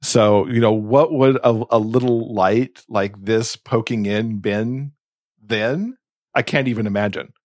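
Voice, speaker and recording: A man's voice: lowish voice, unhurried, darkish tone, no room sound, clean studio sound. An American man in his fifties from Alabama, chatting casually and reflectively as a podcast host. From Alabama, United States. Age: 50s.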